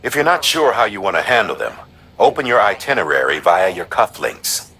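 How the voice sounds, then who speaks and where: An actor playing a businesslike mission support officer, giving matter-of-fact instructions in a video game. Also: male voice, on the low side, sing-song, fairly quick, sharp tone, heavily acted.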